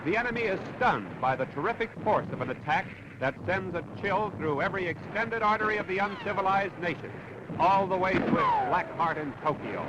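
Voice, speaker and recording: Old 40s american war newsreel guy, declaiming, pretty high voice, really loud noise and battle booms behind him. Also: lively intonation, fairly quick. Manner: dramatic, declamatory. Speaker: newsreel narrator. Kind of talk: 1940s wartime newsreel narration, scripted. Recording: dull, very noisy 1940s newsreel soundtrack.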